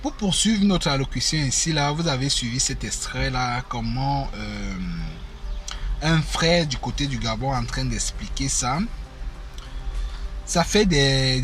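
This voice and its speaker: A man's voice: medium pitch, fairly bright tone. A man, hosting his channel and talking casually to viewers with many fillers and repeated phrases. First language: African mother tongue. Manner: conversational, repeats himself, frequent fillers. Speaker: YouTube channel host. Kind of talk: casual spoken commentary to viewers. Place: indoors in Germany.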